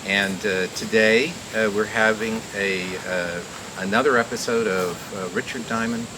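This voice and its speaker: A man hosting a live outdoor garden show, welcoming people and doing magician's patter in an informal, halting, rambling way. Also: on the low side, steady tone. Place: outdoor community garden event. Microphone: live mic through a speaker. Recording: very noisy outdoor live recording.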